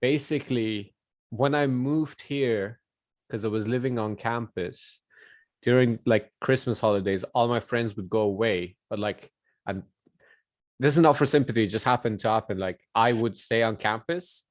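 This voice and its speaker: Male podcast guest, mid-range voice, dry recording. Informal, joking, halting and self-correcting. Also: mid-range voice, dry recording. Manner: hesitant, self-correcting, joking, often says "like". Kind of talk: casual chat.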